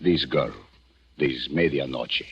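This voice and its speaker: Deep, husky Spanish-accented police inspector. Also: male voice, slow pace, heavily acted. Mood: exasperated. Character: wry Cuban police inspector feigning ignorance, exasperated. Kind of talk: 1940s radio drama acting. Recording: dull old-time radio recording.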